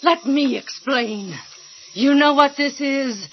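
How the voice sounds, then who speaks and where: A slightly muffled recording holds a neglected, embittered wife in a radio-style drama. Her voice is high and she draws her words out slowly. Her delivery is biting and sarcastic. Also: slight background noise. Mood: furious. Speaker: actor in an audio drama. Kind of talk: audio drama acting. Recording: dull lo-fi audio.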